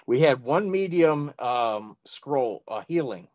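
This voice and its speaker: A man's voice: medium pitch, expressive, slow pace, darkish tone. A man playing a tabletop role-playing game, speaking casually.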